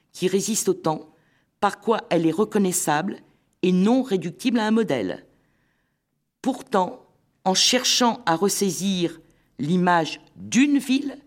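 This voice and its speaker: A woman giving a formal academic lecture at a literary conference, speaking in a measured, deliberate way. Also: on the low side, darkish tone. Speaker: literary scholar presenting at a conference.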